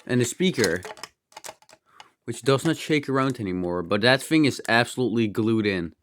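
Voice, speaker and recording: A man's voice: mid-range voice, animated, normal speed, slight background noise. A man with a slightly dry, strained throat gives casual hands-on commentary, rambling and thinking aloud with muttered asides and mild exclamations. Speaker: host of a hobby electronics teardown video. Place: indoors in a cluttered room at home.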